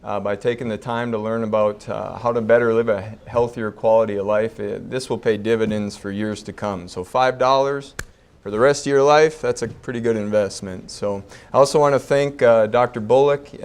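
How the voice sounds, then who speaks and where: A man's voice: on the low side, fairly even intonation, fairly quick, darkish tone. A man, a chiropractor giving a folksy, joking talk to an expo audience. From Fargo, North Dakota. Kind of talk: public talk at a health expo. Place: expo breakout session room before a live audience.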